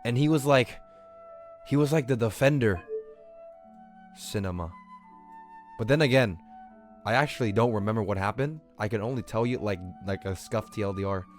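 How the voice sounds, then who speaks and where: A man's voice: on the low side, normal speed, pauses often. A young man streaming a video game, chatting casually and rambling in slangy, sweary speech. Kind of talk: casual livestream chat while gaming. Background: game music.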